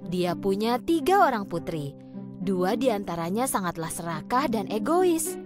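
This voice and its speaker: A woman narrating a cartoon fairy tale in an expressive, storytelling manner over background music. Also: medium pitch, a little slow.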